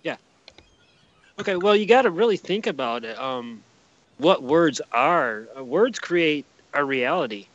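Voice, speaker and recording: A man's voice: on the high side, expressive, measured pace, fairly bright tone. A man from the United States talking casually as a podcast guest over a lo-fi connection. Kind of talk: casual interview talk.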